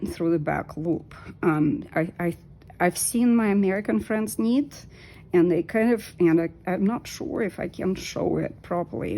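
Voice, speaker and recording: Low, gravelly-voiced woman in her fifties. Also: dark tone. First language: Russian. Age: 50s. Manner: unhurried, hesitant with many ums. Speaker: knitting vlogger. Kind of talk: casual talk while knitting.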